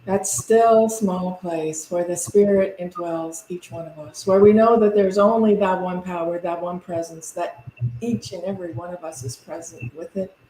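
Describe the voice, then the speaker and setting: A woman minister leading a guided, meditative invocation in gentle, deliberate phrases with pauses. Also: fairly low pitch, steady tone. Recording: echoey room with faint background noise.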